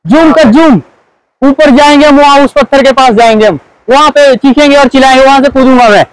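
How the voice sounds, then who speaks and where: High-voiced young man vlogging. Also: a little fast, no echo, rough recording. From Himachal Pradesh, India. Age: 20s. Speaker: travel vlogger. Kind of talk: casual vlog chat to camera. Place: outdoors on a forested mountain trail.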